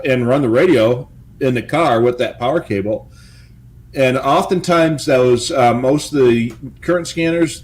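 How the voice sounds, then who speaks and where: A man co-hosting a hobby show, giving practical tips in casual, halting speech with stammered pauses. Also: mid-range voice, darkish tone.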